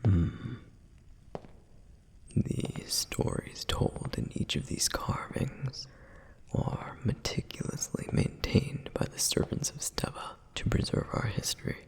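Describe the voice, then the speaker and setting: A man voicing a dutiful, protective male flame demon in an ASMR roleplay, with a soft whispered and wistful, reflective delivery. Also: slow pace, natural voice, rough recording.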